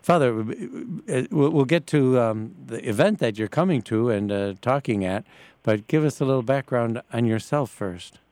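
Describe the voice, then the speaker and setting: A man hosting a live talk radio interview in a halting, stammering, casual way. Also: medium pitch, a little mellow, no room sound. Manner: halting, hesitant, stammering delivery. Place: radio broadcast from a church.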